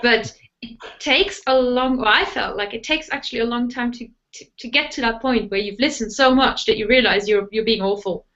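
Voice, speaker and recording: A woman's voice: fairly high pitch, moderate pace, fairly bright tone, muffled recording. German-accented woman hosting, hesitant questions. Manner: hesitant, musing questions with many fillers. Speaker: host. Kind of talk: live interview hosting.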